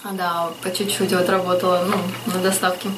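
A woman's voice: lowish voice, little pitch movement, moderate pace, recorded from a distance, noisy background. A woman guest in a casual interview, chatting with frequent laughs and hesitant fillers.

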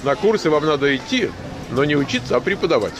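A man hosting a casual on-camera chat with a newcomer, talking briskly and teasingly. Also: on the high side, lively intonation. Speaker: host of an English school, greeting a new arrival. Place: outdoors at an airport baggage area. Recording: loud crowd noise outdoors.

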